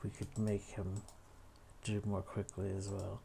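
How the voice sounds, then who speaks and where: Slow, musing man, noisy desk recording. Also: on the low side, completely flat delivery, dark tone. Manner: musing, thinking aloud with tag questions. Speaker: video editor demonstrating an edit. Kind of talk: casual unscripted tutorial narration. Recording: clear background noise with keyboard clicks.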